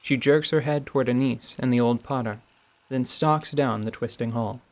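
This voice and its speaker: A man's voice: mid-range voice, normal speed. A man narrating a scripted fantasy story in a radio theatre style. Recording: faint background noise.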